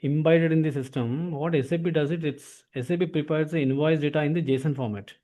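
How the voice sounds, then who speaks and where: A man's voice: a little high, steady tone, brisk, dark tone. A man with an Indian accent giving an informal explanatory lesson as an instructor, often tagging sentences with filler words. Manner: repeats filler tags like "actually".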